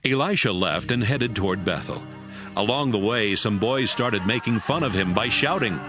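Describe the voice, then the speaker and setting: A man's voice: lowish voice, lively intonation, normal speed, over loud music. A man giving scripted narration for a dramatized audio Bible.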